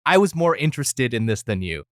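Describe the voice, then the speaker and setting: A man's voice: medium pitch, very animated, fairly quick, a bit bright, dry recording, clean studio sound. A man chatting as podcast co-host, explaining things casually with a joking streak. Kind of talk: casual comedic review chat.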